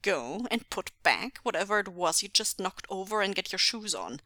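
A woman voicing a calm, affectionate father with a measured, wry manner in a story. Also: mid-range voice, no room sound, clean studio sound. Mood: wry, fond.

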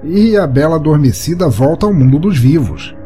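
A cocky, battle-worn young Brazilian monster hunter as a male narrator reads him, wry and cynical. The voice is mellow and sits over music, in the manner of an audio short story. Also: on the high side, animated, moderate pace, pitched above the performer's own voice, natural voice. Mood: wry teasing.

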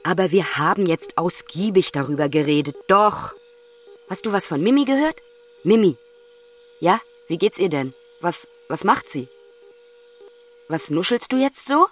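A woman playing an exasperated grown daughter on the phone with her father in a radio play, impatient and pausing to listen. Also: lowish voice, lively intonation. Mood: impatient, insistent. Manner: impatient, one-sided phone chat with pauses. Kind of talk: naturalistic radio play dialogue.

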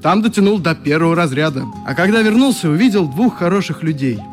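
An actor playing a friendly young youth leader in a radio drama, telling stories in a chatty, expressive way with a wistful streak. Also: male voice, a little high, fast, soft background music, natural voice. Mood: animated reminiscing. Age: young adult. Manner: chatty, expressive, acts out remembered exchanges. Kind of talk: radio drama, reminiscing dialogue.

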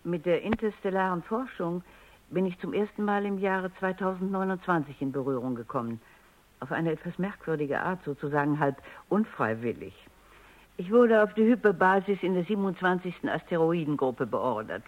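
An older woman scientist looking back on her career with dry irony. Her speech is precise and sharp-witted, in radio drama style. The recording is a dull, dated broadcast. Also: on the low side, lively intonation, normal speed. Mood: wry reminiscing. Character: sharp, dryly ironic elderly woman robot psychologist, reminiscing.

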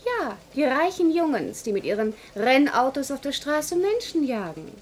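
High-voiced breezy secretary. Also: female voice, big pitch swings, normal speed. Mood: nonchalant. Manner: flippant, matter-of-fact. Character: breezy, cheeky, modish office secretary. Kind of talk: German science-fiction radio play acting. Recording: vintage radio recording, faint noise.

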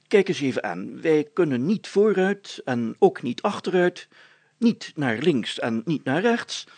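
A man's voice: a little high, big pitch swings, unhurried, a little mellow, breathy edge, dry recording, pitched above the performer's own voice, heavily acted. A man voicing a pompous, optimistic village mayor in a storybook, speaking emphatically and with self-importance. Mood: upbeat, commanding.

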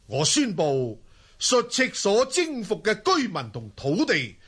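An actor playing a proud middle-aged Mongol ruler in a Cantonese radio drama, stately and emphatic. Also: male voice, high-pitched, wildly swooping pitch, slow, fairly bright tone, husky, full character voice. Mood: solemn, commanding.

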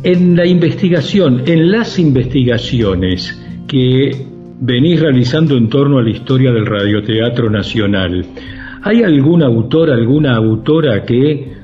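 A man interviewing in a formal, thoughtful way, with wordy and measured phrasing. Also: mid-range voice, dark tone, dull audio. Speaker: interviewer, radio host.

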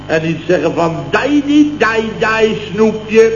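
An actor playing a blustering, self-important contractor boss in radio comedy, telling tall tales in clipped, exclamatory, repetitive bursts. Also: male voice, high voice, lively intonation, slow, music in the background. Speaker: comic radio serial actor. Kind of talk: late-1960s Dutch radio comedy acting. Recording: dull, roomy old radio recording with hiss.

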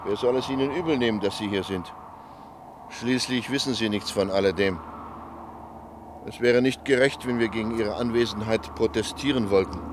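An actor in a radio play as a reserved, cryptic local man, formal and measured in short phrases. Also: fairly low pitch, close to an everyday voice. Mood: cryptic, ominous. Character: reserved, cryptic male townsman, formal and ominous. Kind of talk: German radio play acting. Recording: outdoor street ambience noise.

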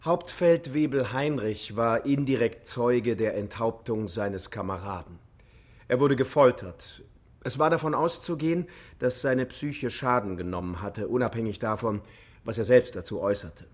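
A male actor as a military psychologist on the witness stand in a radio drama. His mid-pitched voice stays sober and factual, explaining everything with clinical precision. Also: expressive, normal speed, natural voice.

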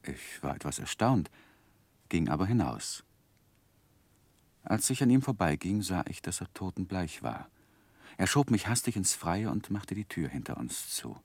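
Deep, close-miked male narrator, sorrowful and reflective, grave dramatic pauses, 1960s radio play. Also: big pitch swings, creaky, darkish tone, no echo, full character voice. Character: grown man sorrowfully recalling his own past, reflective, haunted.

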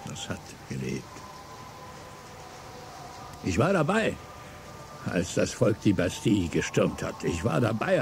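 An actor playing a bitter, drunken former revolutionary in a radio play, declaiming between low mutters and outbursts. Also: male voice, a little hiss or hum. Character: bitter, drunken old male revolutionary, brooding and wild. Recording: radio drama with music underneath.

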